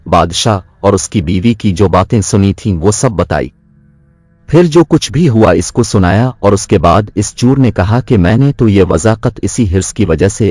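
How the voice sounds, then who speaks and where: A man narrating a scripted moral story as a storyteller, in a measured, earnest way. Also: lowish voice, darkish tone, dry recording.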